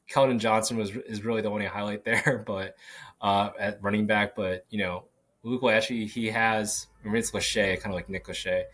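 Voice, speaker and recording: A man hosting a solo sports podcast, talking casually with many fillers and restarts, his voice a little hoarse. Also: on the low side, fairly bright tone. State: slightly hoarse. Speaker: podcast host. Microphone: podcast microphone.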